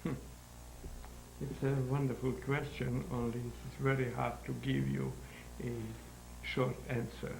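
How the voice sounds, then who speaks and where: A man in his nineties from Hungary, speaking in halting, searching sentences as an interview guest. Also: medium pitch, flat delivery, vocal fry. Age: 90s. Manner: halting, searching for words, non-native grammar. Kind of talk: interview answers. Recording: roomy echo with faint hum.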